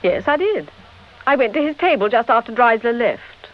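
An actress playing a poised, guarded nightclub owner with a brittle manner in a radio drama. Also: female voice, a little high, very animated, normal speed. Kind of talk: British radio drama acting. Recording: dull lo-fi vintage radio recording.

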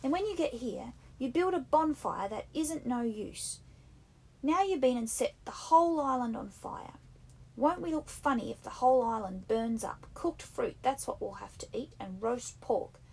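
A woman voicing an anxious, fussy schoolboy who scolds the others indignantly, in husky, rough-grammared speech. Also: high-pitched, animated, normal speed, darkish tone, pitched above the performer's own voice, full character voice, rough recording. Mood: scolding. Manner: indignant, breathless, nagging, with a rough country-boy grammar. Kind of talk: audiobook character reading.

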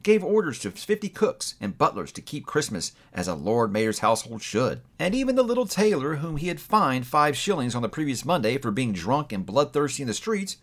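A man narrates a classic tale in audiobook style. His mid-range voice rises and falls vividly, giving the measured storytelling a theatrical feel. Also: mid-range voice, very animated, fairly bright tone. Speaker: book reviewer reading aloud.

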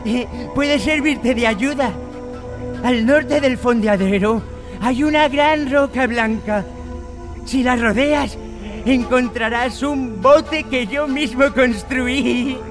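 High-pitched, halting marooned old pirate. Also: male voice, exaggerated voice. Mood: eager, pleading. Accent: Castilian Spanish. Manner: halting, repeats words, excitable. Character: marooned old pirate castaway, wary, eager, pleading. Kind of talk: Spanish radio drama acting. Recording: old radio drama with music bed.

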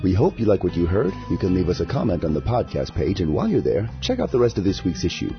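A man hosting a poetry podcast, reading scripted introductions and sign-offs in a lively, announcing way. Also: low-pitched, fast, dull audio, faint music behind. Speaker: podcast host.

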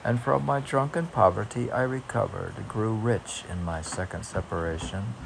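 A man reading poems aloud informally as a poet, with halting, musing asides between the lines. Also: lowish voice, vocal fry, slight background noise, low-quality recording.

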